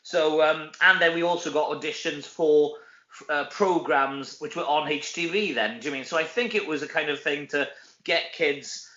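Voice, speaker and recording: A man's voice: fairly high pitch, normal speed, sharp tone. A Welsh man chatting casually as an interview guest, self-deprecating and anecdotal, peppering his talk with conversational tags. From Wales. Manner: chatty, self-deprecating, often tags sentences with "you know". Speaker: actor and comedy performer, interview guest. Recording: roomy echo, dull lo-fi sound.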